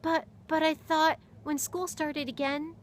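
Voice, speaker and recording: A woman's voice: high voice, little pitch movement, measured pace, a little hiss or hum, voice pushed up. A woman voicing an earnest young boy who asks questions and stammers when upset in a read-aloud story. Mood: dismayed.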